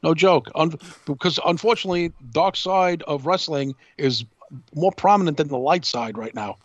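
A man's voice: on the high side, normal speed, dry recording, highs cut off. A man co-hosting a podcast in casual talk, speaking emphatically and repeating himself for effect. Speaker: podcast co-host.

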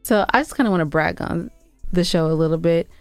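A woman's voice: lowish voice, fairly quick, vocal fry, clean tone, dry recording, soft background music. An American woman co-hosting a podcast in casual, unscripted banter, chatty and informal. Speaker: podcast co-host.

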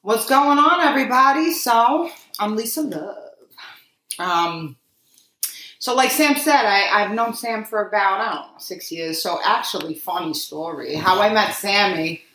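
A woman's voice: mid-range voice, a little slow, bright, forceful tone, echoey room, low-quality recording. Sassy New York woman co-host. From Queens, New York. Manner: sassy, teasing, blunt. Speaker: podcast co-host. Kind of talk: casual podcast chat.

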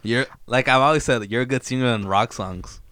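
A young man in casual podcast chat, easygoing and agreeable, with halting restarts. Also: medium pitch, bright, forceful tone, dry recording.

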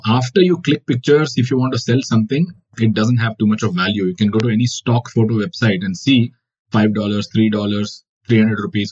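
A man's voice: medium pitch, a little mellow, breathy edge. A man from India whose first language is Kannada, giving reflective interview answers as a wildlife photographer with many fillers. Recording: dull lo-fi audio.

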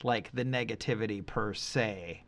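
A man's voice: lowish voice, a little slow, vocal fry, fairly bright tone. Rambling, opinionated man on a podcast. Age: middle-aged. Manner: rambling, emphatic, opinionated, thinking aloud. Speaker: podcast host, music reviewer. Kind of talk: casual podcast discussion.

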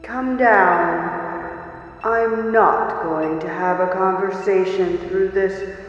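An actress playing a haughty, imperious lady crime boss, speaking formally through a loudspeaker in an audio drama. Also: medium pitch, steady tone, drawn-out words, muffled recording, soft background music. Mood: cold, commanding. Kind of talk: audio drama villain acting. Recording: echoing, band-limited loudspeaker, long reverb.

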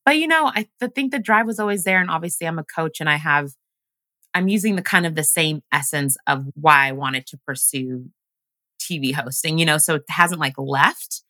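A woman's voice: deep voice, normal speed, sharp tone, dry recording. A woman whose first language is Luganda, raised in Washington State, telling stories casually as a podcast guest with lots of fillers. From grew up in Washington State. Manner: chatty storytelling, many fillers. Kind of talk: casual conversation.

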